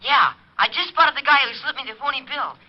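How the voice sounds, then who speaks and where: A male actor playing an eager young newsboy, earnest and excitable, in 1940s radio drama style. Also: very high voice, fairly quick. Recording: dull vintage radio recording.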